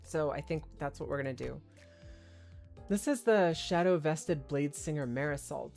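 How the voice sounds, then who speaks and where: A male streamer with a hoarse, tired voice, rambling and thinking aloud as he chats casually while playing a game. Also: fairly high pitch, moderate pace, darkish tone, faint music behind. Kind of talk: casual gameplay commentary.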